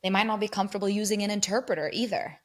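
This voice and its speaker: A woman's voice: medium pitch, rapid-fire. A woman from England and Germany, a guest researcher, explaining ideas step by step in a thoughtful conversational way. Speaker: guest researcher on migrant mental health.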